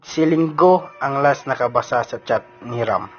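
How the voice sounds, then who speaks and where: A man's voice: mid-range voice, normal speed, a little hiss or hum. A man with a Filipino accent reading scripted narration for an amateur radio drama. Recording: dull lo-fi audio with music bed.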